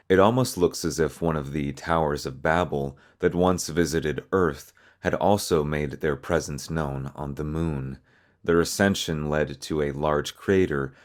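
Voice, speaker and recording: A man hosting a video, narrating and explaining a fictional horror story. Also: extremely low pitch.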